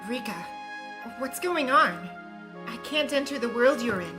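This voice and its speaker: A woman voicing a gentle girl deity who calls softly, pleading from far away. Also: fairly high pitch, animated, unhurried, over music. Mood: anxious.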